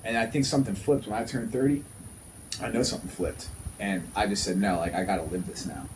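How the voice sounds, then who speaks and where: Low-voiced man in his thirties, noisy recording. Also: brisk. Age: 30s. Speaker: podcast host. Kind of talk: casual conversational podcast talk.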